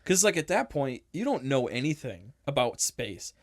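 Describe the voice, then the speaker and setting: A man's voice: medium pitch, very animated, normal speed, no echo, clean studio sound. Young man, giggly casual podcast chat. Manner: laughs often, loose and joking. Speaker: podcast co-host.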